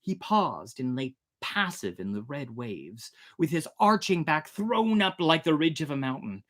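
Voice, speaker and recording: A storyteller reading a tale aloud dramatically, theatrically swelling and hushing. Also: medium pitch, very animated, a little slow. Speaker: storyteller, host.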